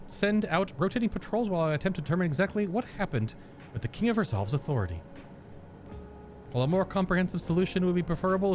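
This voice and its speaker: Man reading as an earnest, higher-pitched fantasy game character. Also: darkish tone, a little hiss or hum. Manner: formal, measured wording. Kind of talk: reading game dialogue aloud.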